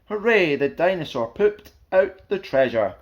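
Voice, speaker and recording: A man's voice: high voice, big pitch swings, a little slow, muffled recording. A man reading a rhyming children's picture book aloud as a storyteller, in a playful, theatrical, sing-song way.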